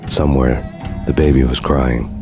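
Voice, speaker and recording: An actor with an American accent playing a brooding, world-weary hardboiled cop, delivering deadpan noir narration in a video game. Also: male voice, extremely low pitch, moderate pace, gravelly. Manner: deadpan, wry, noir monologue. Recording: music behind the voice.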